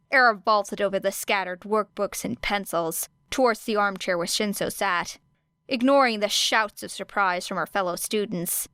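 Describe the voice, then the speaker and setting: A woman narrating an audiobook of a fan fiction story in a steady, even storytelling manner. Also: mid-range voice, clear, resonant tone, no echo. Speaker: podfic reader.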